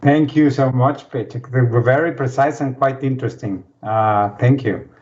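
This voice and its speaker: A man's voice: medium pitch, moderate pace, a little mellow. A man moderating a panel casually, with informal, rambling and hesitant phrasing. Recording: dull lo-fi audio.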